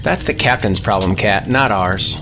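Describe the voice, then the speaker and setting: A man playing a gruff, impatient starship engineering chief, curt and sarcastic, in a sci-fi audio drama. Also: on the low side, moderate pace, music in the background, lightly acted. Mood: irritated.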